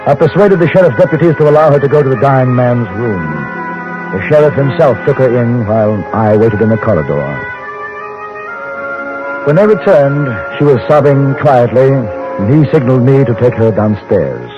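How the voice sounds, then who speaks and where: An actor in an old-time radio drama playing a courteous frontier newspaper correspondent, narrating and talking in a measured, literary, polite way. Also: male voice, mid-range voice, animated, close to an everyday voice. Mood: reflective. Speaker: narrator and lead character. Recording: muffled old radio recording with loud music.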